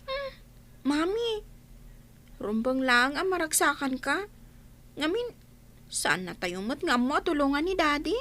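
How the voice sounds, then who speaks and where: A woman's voice: sits high, very animated, measured pace, long pauses, a bit bright, full character voice. A woman playing an eager, affectionate young schoolgirl daughter in Filipino-accented radio drama. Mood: caring.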